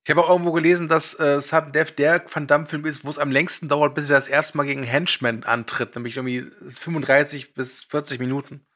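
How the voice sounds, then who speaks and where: A man co-hosting a casual film podcast and running its quiz, teasing his co-hosts with blunt opinions and a husky voice. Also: on the high side, little pitch movement, fast, few pauses, fairly bright tone.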